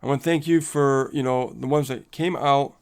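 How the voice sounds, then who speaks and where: A man's voice: mid-range voice, fairly even intonation, normal speed, smooth. A man co-hosting a casual live coaching stream, upbeat and motivational, laughing often and reading viewer comments aloud.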